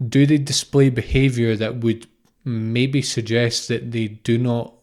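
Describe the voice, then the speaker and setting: A man's voice: mid-range voice, level tone, a little slow, darkish tone. Reflective man from Scotland, informal spiritual teacher. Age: 30s. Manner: reflective and explanatory, with repetition and rhetorical questions. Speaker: spiritual teacher, podcast host. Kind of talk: informal spiritual talk, unscripted monologue.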